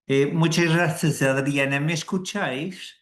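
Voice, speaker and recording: A man with a Portuguese accent speaks slowly and carefully, pausing on fillers and restarting words as he presents. He is a Jesuit priest on a video call. His voice carries the echo of the room he sits in. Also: a little high. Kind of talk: unhurried webinar presentation. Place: indoors in Rome.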